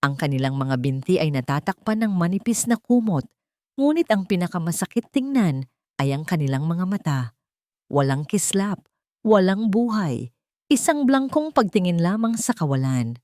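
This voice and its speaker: A woman narrating a scripted drama story in a measured, somber way. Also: on the low side, very animated, a little mellow, no room sound, clean studio sound.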